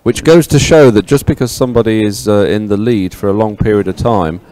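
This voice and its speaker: A man speaking as a sports co-commentator, with a casual, conversational and somewhat halting delivery. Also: fairly low pitch, dark tone, clear, resonant tone. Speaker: sports co-commentator, former team paddler. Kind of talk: live race commentary, conversational.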